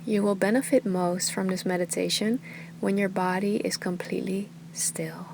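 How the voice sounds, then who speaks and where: A woman teaching meditation with a calm, soothing, unhurried delivery that often trails into breathy softness. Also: mid-range voice, slight background noise. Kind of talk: guided meditation, soft slow instruction.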